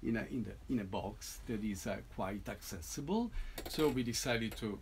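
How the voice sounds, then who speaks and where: Man explaining his piece haltingly. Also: lowish voice, expressive, a little hiss or hum. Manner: halting, many restarts and tag "no?". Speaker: composer presenting a piece. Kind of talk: informal talk to an audience.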